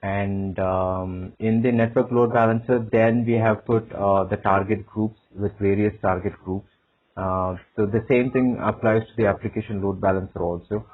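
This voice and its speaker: A man's voice: on the low side, fairly even intonation, moderate pace. A man answering as a candidate in a phone job interview, hesitant and often repeating his words. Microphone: phone call. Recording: narrowband tinny phone line.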